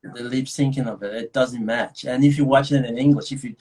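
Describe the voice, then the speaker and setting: A man's voice: medium pitch, fairly even intonation, fairly quick, darkish tone, husky. A young adult man with a Nigerian accent, chatting casually as a guest, rambling with many restarts and frequent laughs. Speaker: actor guest. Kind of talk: casual video chat.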